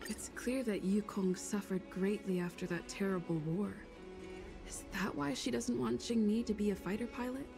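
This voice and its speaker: A woman's voice: medium pitch, moderate pace, creaky, over music. A woman doing casual video game playthrough commentary, reading on-screen text and thinking aloud. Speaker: gamer reading and reacting.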